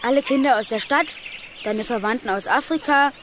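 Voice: sits high, a little fast, noisy background, lightly acted. An actor playing an earnest, reassuring child friend in a children's audio drama.

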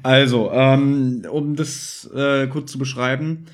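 A man's voice: medium pitch, little pitch movement, takes it slowly. A man hosting a podcast in casual chat, explaining things discursively with asides and little tag questions. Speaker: podcast host.

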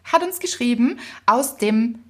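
A woman's voice: on the high side, animated, unhurried. A woman co-hosting a podcast, in casual chat and reading out listener mail. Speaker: podcast co-host.

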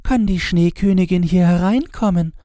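A man's voice: high voice, normal speed, dark tone, dry recording, studio quality, voice pushed up, lightly acted. A man voicing a curious, bold little child in a fairy tale, with a naive, eager manner.

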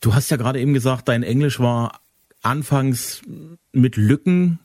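A man from eastern Germany hosting an interview, laying out his ideas in a measured, explanatory way. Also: medium pitch, darkish tone, dry recording, clean studio sound. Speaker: podcast host. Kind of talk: interview conversation.

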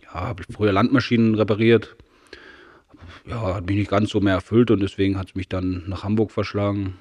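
A man's voice: lowish voice, steady tone, brisk, a little mellow. A man from Saxony in his forties, guest on a casual podcast, telling stories in an easygoing way. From Saxony, Germany. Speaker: company owner, guest.

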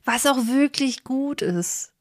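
A woman's voice: high-pitched, animated, takes it slowly, dry recording, studio quality. A woman chatting casually as a podcast co-host, playful and self-deprecating. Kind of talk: casual chat.

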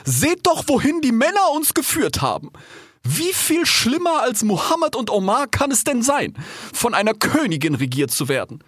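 A man's voice: very high voice, wildly swooping pitch, moderate pace, fairly bright tone, husky, no echo, studio quality, pitched above the performer's own voice, heavily acted. A man voicing an assertive, indignant female giraffe in a storybook. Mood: indignant, persuasive.

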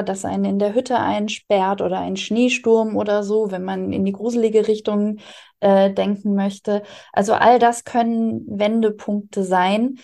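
A woman's voice: mid-range voice, creaky, mellow. A woman, speaking in an interview as an author explaining her writing craft. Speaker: interviewed radio drama author.

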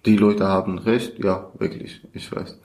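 A man from Iran with a Persian accent speaks halting non-native German, repeating short phrases as he shares his personal experience as a guest. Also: low-pitched, level tone, a little mellow. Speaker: podcast guest. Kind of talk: personal testimony in conversation. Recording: roomy, echoey sound.

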